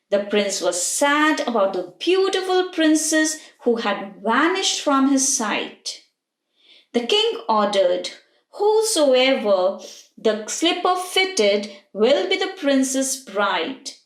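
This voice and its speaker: A woman narrating a children's bedtime fairy tale in an expressive storybook style. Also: fairly high pitch, roomy sound.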